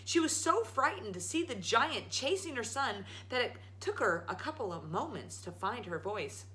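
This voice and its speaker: Woman, children's storyteller, bright voice, highly animated, dramatic emphatic narration. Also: normal speed, a little hiss or hum, rough recording. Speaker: storyteller, show host. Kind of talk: expressive children's story read-aloud.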